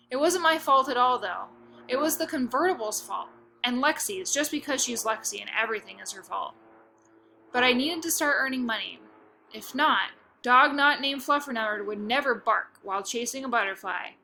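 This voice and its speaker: Bright, expressive woman reading a children's book. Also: on the high side. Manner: expressive, playful first-person reading. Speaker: narrator. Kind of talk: reading a children's novel aloud.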